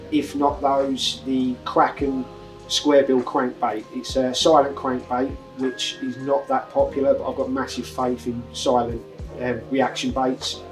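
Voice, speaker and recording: A man's voice: mid-range voice, low-quality recording. A man from Lincolnshire answering interview questions, somewhat hesitant, with fillers. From Lincolnshire, England. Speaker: angler competitor. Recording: faint music behind.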